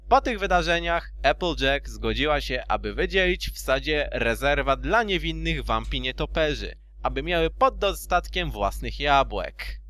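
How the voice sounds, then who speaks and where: A man's voice: a little high, a bit bright. A man narrating a storybook in an amateur fan dub, theatrically and with loose asides.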